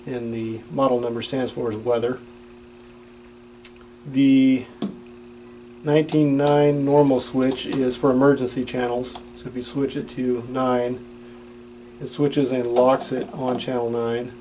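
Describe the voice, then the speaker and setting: A man's voice: medium pitch, warm tone, rough recording. A man giving a plain-spoken, instructional product demonstration. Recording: audible background noise with hum.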